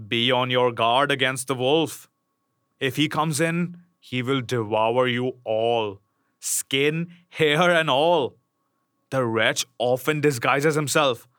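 A man voicing a loving old mother goat from a storybook, cautioning in a slow, solemn way. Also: mid-range voice, a bit bright, dry recording, studio quality.

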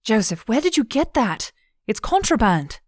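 A woman's voice: on the low side, normal speed, dry recording, clean studio sound, close to an everyday voice. A woman voicing a blunt, stern young female law officer in an audiobook. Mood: alarmed, stern.

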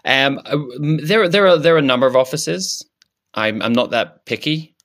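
A man's voice: mid-range voice, lively intonation, normal speed, fairly bright tone. A man improvising a shy male politician who has no opinions, talking in hesitant, stammering restarts. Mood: evasive. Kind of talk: improvised comedy character.

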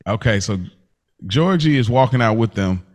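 A man's voice: mid-range voice, lively intonation, normal speed. A man hosting a podcast, casually recapping a TV show in slangy, informal storytelling. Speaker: podcast host.

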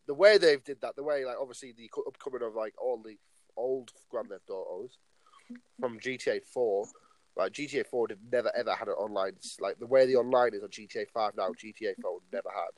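A man's voice: mid-range voice, fairly quick, dry recording, low-quality recording. A nineteen-year-old man co-hosting a casual podcast, rambling along and filling his talk with "like" and "obviously". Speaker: podcast co-host.

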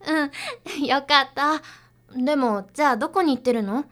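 A young female idol in a radio drama. Her voice is high. She speaks casually and expressively, as a caring granddaughter. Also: normal speed, a bit bright. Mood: hopeful, curious.

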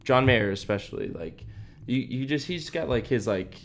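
A man's voice: medium pitch, animated, normal speed, a little hiss or hum. A young man co-hosting a podcast, chatting casually about music in a rambling, laid-back way with lots of fillers. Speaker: podcast co-host. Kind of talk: casual chat.

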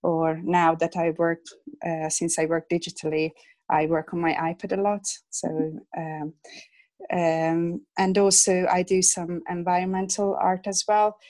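A woman in her thirties from Hungary, a Hungarian speaker talking in English, is a guest illustrator chatting in an interview, with many fillers and soft laughs. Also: on the low side, level tone, unhurried, no room sound. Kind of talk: casual interview chat.

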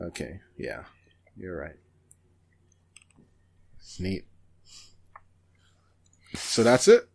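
Slow, swooping low-voiced man. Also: huge pitch swings, gravelly, a little mellow, breathy edge. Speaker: podcast co-host. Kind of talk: casual chat.

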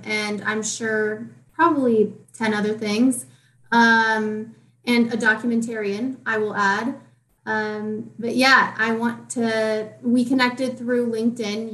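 A friendly woman host who chats casually and leans on lots of ums. Her voice sits a little high and sounds distant from the mic in an echoey room. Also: fairly bright tone. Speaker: podcast host. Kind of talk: casual podcast interviewing.

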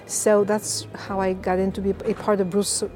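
Woman, mellow passionate voice. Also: medium pitch, normal speed, soft background music. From Bulgaria. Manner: passionate, lively storyteller with fillers. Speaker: video game composer. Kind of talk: casual interview answers.

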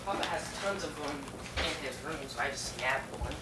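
A man's voice: on the high side, moderate pace, noisy background. A young man chatting casually while playing a game, rambling, trailing off mid-sentence and laughing often. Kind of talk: casual chat over a game.

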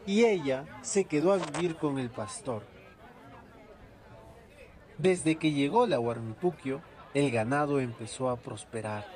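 A man's voice: medium pitch, animated, unhurried, darkish tone, a faint crowd. A man narrating a folk tale as a storyteller.

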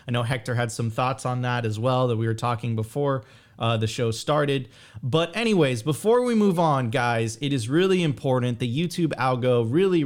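A man's voice: medium pitch, clean tone. A man hosting a podcast, eager and emphatic, who repeats phrases to urge viewers on. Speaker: podcast host. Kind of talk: casual podcast chat.

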